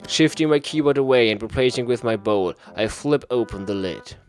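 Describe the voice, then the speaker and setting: A man's voice: mid-range voice, moderate pace, faint music behind. A man reading a visual novel aloud in a theatrical, mock-serious way as a gaming host. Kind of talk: dramatic read-aloud narration of a visual novel.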